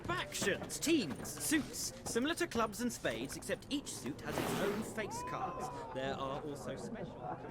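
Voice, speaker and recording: High-pitched, animated card player. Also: male voice, unhurried. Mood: eager. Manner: instructive. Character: keen, explaining card-playing man in tavern. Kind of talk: video game voice acting.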